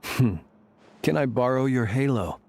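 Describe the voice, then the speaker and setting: Man acting a deadpan, teasing fantasy character. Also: medium pitch, unhurried, slight background noise, natural voice. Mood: dry, teasing. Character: dry, teasing lamp-carrying game character. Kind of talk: game voice acting.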